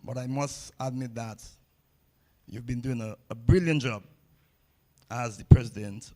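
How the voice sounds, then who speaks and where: A man's voice: medium pitch, a little slow, mellow. A man giving a public ceremony speech as a table tennis coach, blunt and repeating phrases for emphasis.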